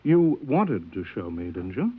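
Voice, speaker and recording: Sly, affable schemer, tinny radio. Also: male voice, on the low side, very animated, measured pace, husky, heavily acted. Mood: affable, coaxing. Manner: affable, insinuating, coaxing. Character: smooth-talking, manipulative male passenger sowing distrust aboard. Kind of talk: old-time radio drama acting. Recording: tinny, band-limited old radio recording.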